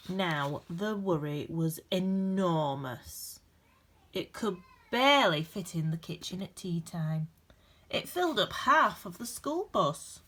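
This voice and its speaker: Woman with a lowish voice reading a kids book out loud, gentle and expressive, bit of noise behind. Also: takes it slowly. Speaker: storybook reader. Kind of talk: expressive read-aloud of a children's picture book.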